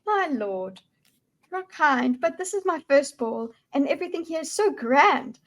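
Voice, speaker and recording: Young noblewoman played by a female reader: high voice, courteous, nervous, storybook fantasy. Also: lively intonation, measured pace. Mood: demure, nervous. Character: nervous young noblewoman at her first ball, polite. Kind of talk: acted reading of a fantasy novel.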